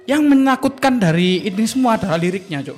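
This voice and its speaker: Seorang pria muda dengan logat Jawa Timur, membawakan cerita santai sebagai co-host podcast. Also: male voice, high-pitched, expressive, fast, a little mellow, faint music behind. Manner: chatty storytelling, mixing Indonesian and Javanese slang. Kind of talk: casual podcast banter.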